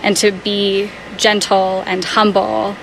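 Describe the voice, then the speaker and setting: A woman on staff at a faith community house, answering interview questions in an earnest, thoughtful way with soft laughs. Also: medium pitch, level tone, slow, vocal fry, a little hiss or hum, low-quality recording.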